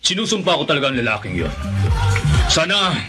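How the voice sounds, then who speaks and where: A man's voice: on the high side, very animated, a little fast, music in the background. A man playing a proud, bitter old grandfather and political patriarch in a Filipino radio drama. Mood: bitter, angry.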